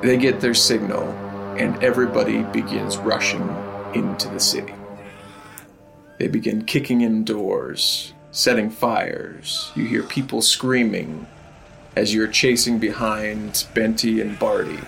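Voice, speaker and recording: A man narrating an improvised tabletop game as game master, pausing often mid-sentence. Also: on the low side, fairly even intonation, creaky, a bit bright, faint music behind.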